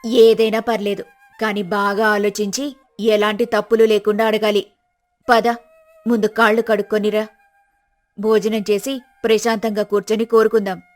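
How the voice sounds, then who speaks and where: A woman voicing a scheming, sharp-tongued poor village wife in a storybook drama, talking in a bossy, scolding way. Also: medium pitch, level tone, normal speed, pauses often, soft background music, lightly acted.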